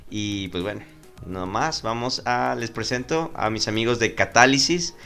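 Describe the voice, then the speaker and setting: A man hosting an interview, halting and repeating words as he welcomes guests. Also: medium pitch, gravelly, sharp tone, clean tone, soft background music. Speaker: host.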